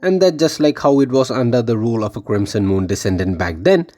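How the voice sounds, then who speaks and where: A man's voice: medium pitch, expressive, a little fast, a little mellow. A man reading a scripted game lore theory voice-over with dramatic, emphatic phrasing. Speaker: video game lore YouTuber.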